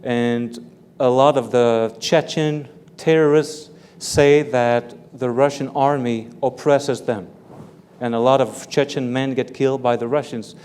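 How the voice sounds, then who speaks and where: A man from Belgium, a native French speaker, answering interview questions as an author and professor, careful and measured, repeating words as he explains. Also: medium pitch, steady tone, darkish tone, clear, resonant tone. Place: large hall with live audience. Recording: long hall reverb with faint room noise.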